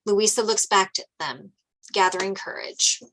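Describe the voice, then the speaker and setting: A woman's voice: mid-range voice, normal speed, fairly bright tone, a little hiss or hum, low-quality recording. Lady narrator reading out scene directions from a script, mid-range voice. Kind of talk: scripted narration at a table read.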